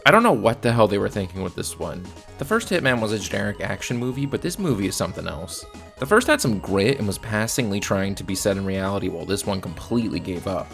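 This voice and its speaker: A man's voice: fairly low pitch, very animated, fast talker, creaky, fairly bright tone. A man narrating a casual, scripted movie review in a blunt, sometimes profane way. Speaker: video reviewer. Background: faint background music.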